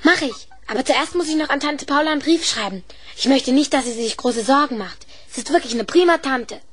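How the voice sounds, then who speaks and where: Schoolboy inventor: high young voice, keen, practical, helpful, children's audio drama. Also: female voice, expressive, fairly quick. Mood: eager, practical. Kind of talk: 1970s German children's radio play acting.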